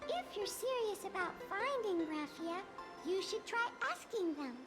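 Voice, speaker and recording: Tiny, squeaky, childlike spirit. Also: female voice, big pitch swings, measured pace, full character voice. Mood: earnest. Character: tiny childlike wispy spirit, female, cheeky. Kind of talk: video game voice acting. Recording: loud music behind the voice.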